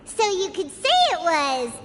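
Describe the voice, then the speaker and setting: A woman voicing a tiny, excitable childlike fairy companion with a squeaky voice in an animated video game. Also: measured pace, very noisy. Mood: cheerful, proud.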